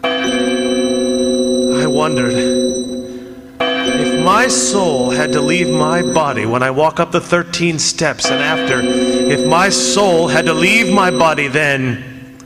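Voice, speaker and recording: An actor playing an innocent condemned man awaiting hanging, narrating a radio horror drama in an anguished, halting, theatrical way. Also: on the high side. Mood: haunted, hushed dread. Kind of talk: old-time radio horror drama, first-person narration. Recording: long reverb, loud music underneath. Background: loud dramatic music.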